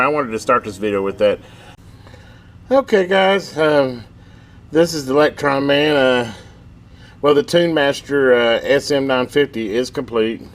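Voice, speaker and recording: A man hosting a casual hands-on video demo, explaining in a folksy, easygoing, rambling way inside a metal building. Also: medium pitch. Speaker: host of a radio repair channel. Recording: noisy room, steady background hum.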